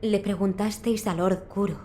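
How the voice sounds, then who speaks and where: Mellow, composed physician played by a woman. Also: medium pitch, steady tone, slight background noise, close to an everyday voice. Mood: inquiring, serious. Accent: Castilian Spanish. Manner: formal, measured, courteous. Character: composed, dutiful female physician in feudal Japan. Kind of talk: video game voice acting.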